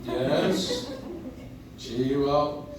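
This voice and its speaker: A man playing a kindly old Santa Claus in a live radio play, gentle, halting and grandfatherly. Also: medium pitch, far from the mic. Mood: kindly, encouraging. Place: coffeehouse stage, picked up from the audience. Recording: echoey noisy room, recorded from audience.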